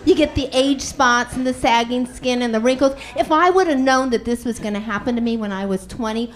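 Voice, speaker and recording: A woman's voice: on the high side, brisk. A middle-aged woman doing a frank, self-mocking stand-up set. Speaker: stand-up comedian.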